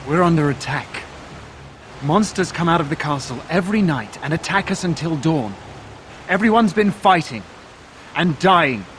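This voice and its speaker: An actor playing a frightened, weary young village guard in a fantasy game, anxious and deferential. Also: male voice, fairly high pitch, expressive, normal speed, noisy background, lightly acted. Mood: fearful, desperate. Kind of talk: fantasy video game acting.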